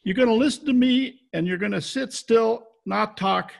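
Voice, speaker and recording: A man's voice: high voice, moderate pace, gravelly, heavily acted. A man playing a tough, sardonic private detective in old-time radio drama style, recorded at home over a video call. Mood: agitated, commanding. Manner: hard-boiled, sardonic. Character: tough, wisecracking male private detective, impatient with sentiment.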